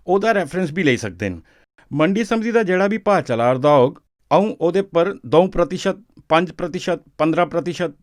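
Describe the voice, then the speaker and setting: A man with a Dogri accent explains in a conversational, instructive way for an educational film, often checking that he is understood. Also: on the high side. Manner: didactic, often seeks confirmation with short check questions. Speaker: expert explaining a farm law to farmers.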